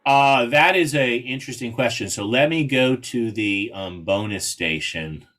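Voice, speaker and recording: A man giving a casual, somewhat halting explanation as the invited speaker in an online meeting. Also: medium pitch, a bit bright. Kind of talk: casual explanatory talk with screen share.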